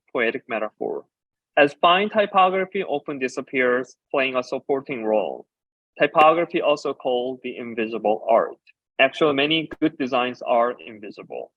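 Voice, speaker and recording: A man whose first language is Korean talks through his work on stage, pausing often with fillers as he explains. His voice sits at an ordinary pitch for a man. The recording is dry and a little dull, with a lo-fi edge. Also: no echo. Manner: halting, with frequent filler pauses while explaining. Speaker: designer and ceramicist giving a talk. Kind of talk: conference presentation about slides.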